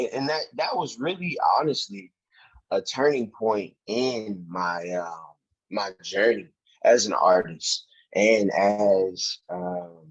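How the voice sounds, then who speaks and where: A man's voice: fairly low pitch, breathy edge, muffled recording. A man speaking as an actor being interviewed, earnest and emphatic, repeating phrases as he thinks aloud in casual, reflective talk. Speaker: actor, podcast guest.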